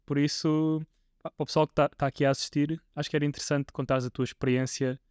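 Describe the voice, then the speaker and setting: A man's voice: on the high side, little pitch movement, quick pace, darkish tone, clean tone, dry recording, clean studio sound. Portuguese man hosting a podcast. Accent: European Portuguese. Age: 20s. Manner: hesitant, many restarts and fillers. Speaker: podcast host. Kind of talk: casual interview.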